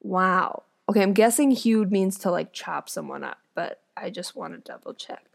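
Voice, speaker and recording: A woman's voice: medium pitch, animated, moderate pace, creaky. A woman, an actor and comedian, reading an old fairy tale aloud and breaking off into wry, joking comments. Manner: wry, amused, chatty commentary. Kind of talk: storytelling reading with joking asides.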